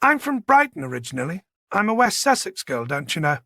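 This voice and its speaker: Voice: mid-range voice, big pitch swings, fairly quick, vocal fry, fairly bright tone, no room sound, clean studio sound, exaggerated voice. An audiobook narrator voicing a genteel, chatty woman with an old-fashioned, playful way of speaking. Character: genteel, chatty woman, a box office manager.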